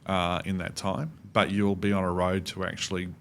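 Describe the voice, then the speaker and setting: Middle-aged Australian male expert, very low and flat-pitched, measured explainer in interview mode, faint rumble behind. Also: vocal fry. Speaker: podcast guest, cybersecurity expert. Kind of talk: conversational interview answers.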